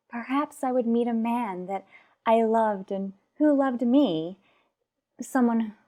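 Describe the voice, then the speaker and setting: A woman playing an anguished, unhappily married mother in a radio soap opera, speaking earnestly with dramatic pauses. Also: on the high side, dark tone. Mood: regretful, yearning.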